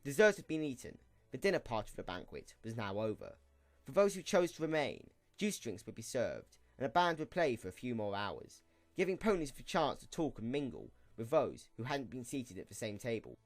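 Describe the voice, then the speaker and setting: A man narrates a scripted fantasy story for an audio play. His medium-pitched voice moves expressively up and down, with faint music underneath.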